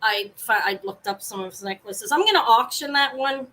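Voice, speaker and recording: Brief: woman, live-sale host, loud carrying voice, rambly and self-interrupting, home setup. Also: fairly high pitch, animated, moderate pace. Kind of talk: casual live sale chat. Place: home apartment, fan running.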